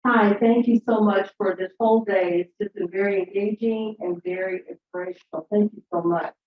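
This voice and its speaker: A woman's voice: mid-range voice, steady tone, normal speed, slight background noise. Woman commenting from the floor, earnest and organized, roomy lo-fi sound. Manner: earnest, enthusiastic, organized in numbered points. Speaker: audience member. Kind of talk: public comment at a summit. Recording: echoey room, dull lo-fi sound.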